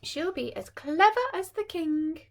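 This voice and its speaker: A woman voicing a gentle, kindly storybook fairy, sweetly declaring wishes. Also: very high-pitched, lively intonation, unhurried, vocal fry, pitched above the performer's own voice, heavily acted. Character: gentle, kindly good fairies granting wishes.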